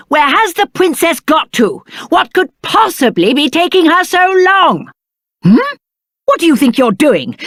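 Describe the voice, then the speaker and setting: A man's voice: very high voice, pushed and bright, no room sound, studio quality, exaggerated voice. A British man playing a spoiled, cowardly young prince in a video game, with a pompous, petulant and theatrical delivery. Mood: impatient, petulant. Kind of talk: video game character acting.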